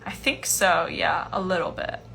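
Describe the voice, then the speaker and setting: A young woman in her twenties answering fan questions in a casual livestream from home, relaxed and chatty with lots of fillers. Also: fairly low pitch, a little slow, gravelly, slight background noise. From Iceland. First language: Chinese. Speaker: musician hosting a livestream Q&A. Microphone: phone livestream.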